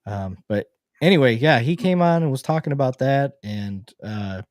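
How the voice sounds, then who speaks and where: A middle-aged man hosting a podcast, curious and quick to ask questions and laugh. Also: medium pitch, animated, a little mellow, no echo, studio quality. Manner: curious, asks lots of questions, laughs easily. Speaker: podcast host. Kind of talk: casual chat.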